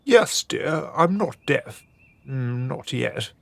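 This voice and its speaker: A man's voice: mid-range voice, very animated, slow, vocal fry, fairly bright tone, no echo, heavily acted. A man playing a weary older husband in a radio drama, speaking in a dry, mildly sarcastic way. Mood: wry, placating. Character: weary, dry, long-suffering older Venetian husband and father.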